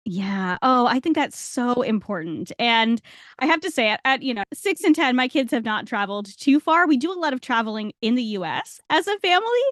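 A woman's voice: fairly high pitch, a bit bright, no echo, studio quality. A woman in her thirties from Rhode Island hosting a casual interview, enthusiastic and affirming. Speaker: podcast host.

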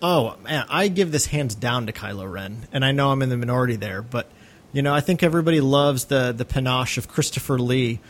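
A man's voice: medium pitch, brisk. Male podcast co-host with mid-range voice. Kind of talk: casual opinionated discussion.

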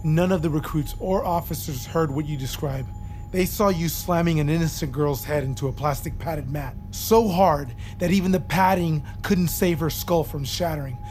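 A man's voice: a little high, moderate pace, darkish tone, lightly acted. Guy acting stern military shrink pushing hard for a confession, audio fiction, music in back. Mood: stern, accusing. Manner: insistent, accusatory. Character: stern military psychiatrist, male, pressing a patient for confession.

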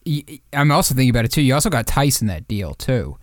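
A man's voice: mid-range voice, sing-song, fast, no echo, studio quality. A man co-hosting a sports podcast in casual, slangy, emphatic talk with rhetorical questions.

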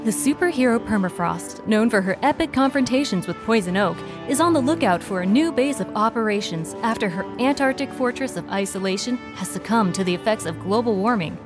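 Actress as composed news lady, slightly high voice, music under it, scripted report. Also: animated, fairly quick, hardly any pauses, natural voice. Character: composed female radio news correspondent.

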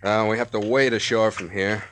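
A man's voice: on the low side, steady tone, a bit bright, close to an everyday voice. A man playing a commanding boat captain. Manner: brisk and commanding. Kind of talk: 1940s radio acting. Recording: old radio recording with hiss.

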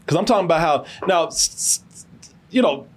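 Animated, husky man reviewing a movie. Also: on the high side, moderate pace. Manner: animated, emphatic, repetitive, cursing freely. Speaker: film review podcast host. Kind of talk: casual profane movie review, storytelling.

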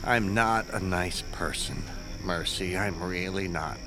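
A man playing a nervous, ingratiating school guidance counselor in his twenties in an audio drama, speaking haltingly. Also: low voice, music in the background. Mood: smitten, remorseful. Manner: hesitant, ingratiating, halting with ums.

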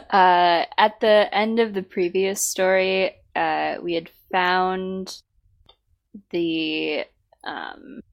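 A woman co-hosting a casual podcast, her voice worn out by a sore throat, talking in halting bursts with many fillers. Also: on the low side, steady tone, gravelly. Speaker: podcast co-host.

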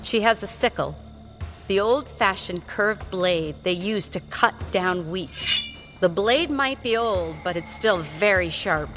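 A woman's voice: mid-range voice, expressive, slow pace, a bit bright. A woman narrating a scripted audio drama in a storytelling style. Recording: music bed under voice.

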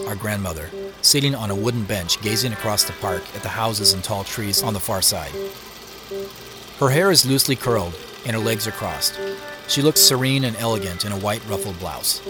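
Audio drama lead, male actor: guarded, playful CEO brother, conversational first-person narration, lowish pitch, light music underneath. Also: lightly acted.

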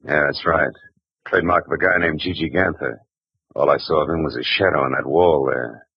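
A hard-boiled private eye talks in a low, very husky, clipped voice full of wisecracks. The vintage broadcast sound is muffled and lo-fi. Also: male voice, fairly quick, no room sound, heavily acted. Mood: wisecracking. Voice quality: husky, clipped, tough-talking. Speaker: narrator and lead. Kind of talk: 1940s radio acting. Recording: dull old radio broadcast.

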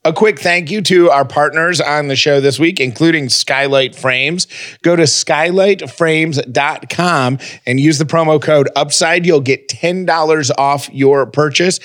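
A man's voice: on the high side, unhurried, fairly bright tone, no echo. Male podcast co-host, close dry sound. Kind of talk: casual banter and personal talk.

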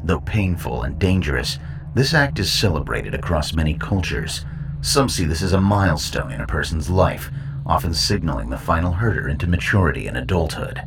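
A man narrating a scripted horror report in a formal, measured manner. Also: low-pitched, big pitch swings, gravelly. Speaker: narrator and host.

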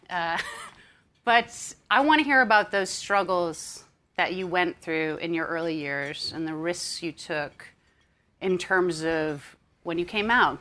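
A woman's voice: lowish voice, sharp tone. A woman from the United States hosting a live onstage interview, upbeat and chatty with frequent ums. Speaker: interview host and magazine editor. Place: onstage before an audience.

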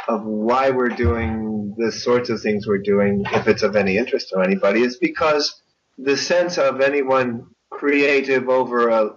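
A man with a medium-pitched voice reflects as a guest author in an interview. His phrasing is measured and philosophical. He sounds away from the microphone on a muffled, lo-fi recording. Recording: dull, distant lo-fi microphone.

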